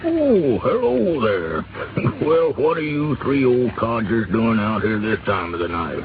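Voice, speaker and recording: An actor playing a kindly, amiable American country doctor in a 1930s radio drama. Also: male voice, medium pitch, very animated, slow pace. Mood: amused, friendly. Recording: noisy, muffled 1930s radio recording.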